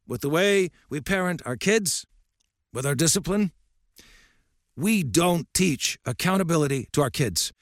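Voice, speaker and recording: A man's voice: on the high side, no echo, clean studio sound, pitched above the performer's own voice. A man playing a bellowing old baseball coach. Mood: preaching. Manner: barking, bellowing. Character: fiery old male baseball coach, gruff.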